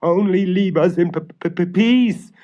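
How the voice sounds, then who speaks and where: A man's voice: high-pitched, measured pace, dark tone, pitched above the performer's own voice. A man playing a cowardly humbug of a prior, stammering and quaking as he pleads in a storybook recording from an old LP. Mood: terrified pleading. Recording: dull vintage spoken-word LP.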